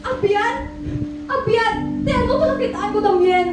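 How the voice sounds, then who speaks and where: A woman acts an emotional young girlfriend in an amateur radio play. Her voice is extremely high, echoing heavily across a room, with music clearly behind it. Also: unhurried. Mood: hurt, furious. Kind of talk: amateur school radio drama acting. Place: school audio-visual room. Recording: very echoey hall, distant mic, loud music behind.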